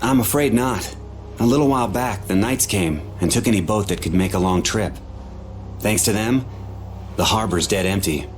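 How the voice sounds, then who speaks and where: A man's voice: fairly low pitch, normal speed, soft background music, natural voice. A man playing a helpful, cautious family man in a video game, with a plain-spoken, folksy manner. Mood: regretful.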